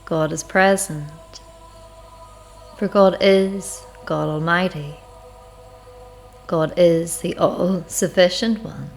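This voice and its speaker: Slow, low-voiced woman leading meditation. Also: fairly even intonation, gravelly. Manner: unhurried, reflective, measured with long pauses. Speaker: guided meditation leader. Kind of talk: slow spiritual guided meditation narration. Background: music.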